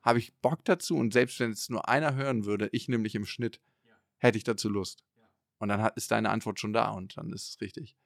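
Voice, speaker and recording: A man in a relaxed podcast conversation, talking in long loose trains of thought with many fillers. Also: on the low side, a little fast, vocal fry, no room sound, clean studio sound. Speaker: podcast guest and entrepreneur.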